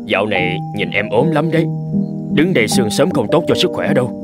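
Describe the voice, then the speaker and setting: A man voicing a poor, hard-working, gentle father in a folk-tale cartoon. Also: medium pitch, expressive, fairly quick, loud music behind the voice, lightly acted. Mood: caring. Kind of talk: cartoon dubbing.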